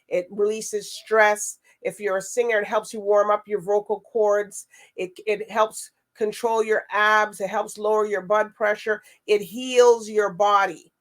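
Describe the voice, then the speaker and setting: A woman hosts a casual talk show. Her voice sits at an ordinary middle pitch. She is chatty and wordy as she addresses viewers and guests. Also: level tone, measured pace, few pauses. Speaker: show host.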